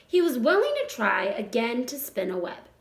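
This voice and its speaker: A woman reading a children's story aloud with expressive narration. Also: on the high side, normal speed. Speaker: storybook reader. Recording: roomy echo.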